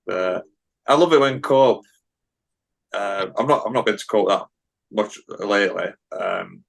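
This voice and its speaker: A man's voice: on the low side, a little slow, creaky. A man hosting a casual podcast interview, asking hesitant, filler-heavy questions. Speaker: podcast host.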